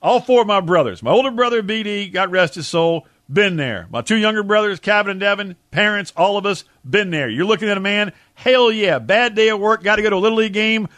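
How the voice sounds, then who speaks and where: Folksy, higher-voiced male sports radio host. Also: a little fast, hardly any pauses, a bit bright, no echo. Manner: folksy, emphatic, slangy storytelling. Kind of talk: casual sports talk banter.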